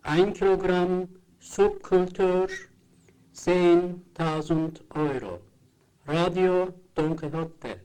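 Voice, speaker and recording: A man chanting short repeated slogans in a slow, chant-like way. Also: high-pitched, mellow, low-quality recording. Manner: repetitive, chant-like.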